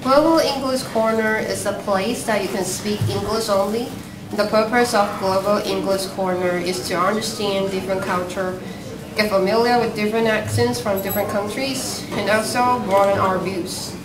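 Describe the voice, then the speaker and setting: A woman's voice: mid-range voice, steady tone, normal speed. Woman co-hosting in an echoey hall. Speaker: co-host of a student English corner. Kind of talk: scripted event hosting. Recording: distant, echoey, noisy room.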